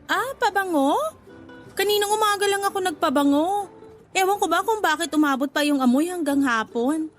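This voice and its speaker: A woman's voice: very high-pitched, moderate pace, soft background music. A woman acting a flirty, easily jealous food-stall vendor in a Tagalog radio drama, with playful, huffy delivery. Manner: playful banter turning to indignant huffs. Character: flirty, easily jealous female cassava cake vendor, teasing and touchy.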